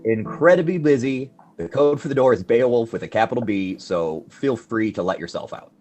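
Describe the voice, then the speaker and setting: An actor playing an exasperated, bookish literature expert in a radio play, dry and incredulous in manner. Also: male voice, mid-range voice, normal speed, dark tone, faint music behind, lightly acted.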